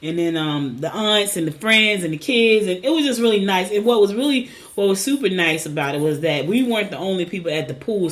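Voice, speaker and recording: A middle-aged woman from New Jersey hosting a morning show, her voice raspy and scratchy as she rambles through personal stories. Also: lowish voice. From Newark, New Jersey. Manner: rambling, chatty, warm personal storytelling. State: hoarse, clearing throat. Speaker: podcast host. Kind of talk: casual morning show chat.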